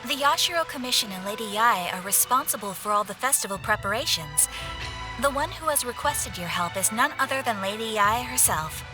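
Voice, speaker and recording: A woman voicing a polite, composed young messenger with a formal, measured manner in a video game. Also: on the high side, lively intonation, natural voice, low-quality recording. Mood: courteous. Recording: music behind the voice.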